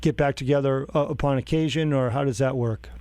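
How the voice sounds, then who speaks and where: A man's voice: medium pitch, fairly even intonation, a little fast, darkish tone. A man hosting a radio interview, asking long, rambling questions and laughing often. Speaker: radio host. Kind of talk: casual interview.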